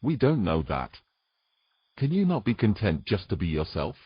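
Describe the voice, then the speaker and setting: A man playing a wary, stubborn, educated man in an audio fantasy, with a husky voice and cautious, pleading delivery. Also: fairly low pitch, sing-song, moderate pace, no room sound, lo-fi sound. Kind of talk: dramatic audio fiction acting.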